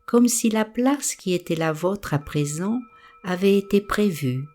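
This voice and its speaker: A woman guiding a meditation, giving gentle, soothing and unhurried instructions. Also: medium pitch, expressive, faint music behind. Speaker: meditation guide. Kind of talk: guided meditation narration.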